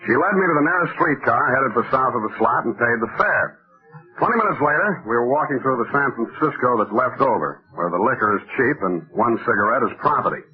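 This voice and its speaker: A wisecracking American private eye, played by a male actor in a vintage radio drama. He is glib and wry, cocky with a flirtatious streak. The recording is dull and lo-fi, and his pitch sits in an ordinary male range. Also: expressive, a little fast, close to an everyday voice. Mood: wry narrating. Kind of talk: old-time radio detective acting, dictation and narration.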